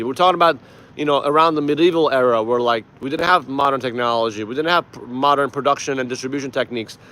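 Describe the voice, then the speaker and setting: A man's voice: a little high, a bit bright. A man from Iran, a native Persian speaker, hosting a casual livestream and giving emphatic, informal political commentary with some swearing. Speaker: live stream host.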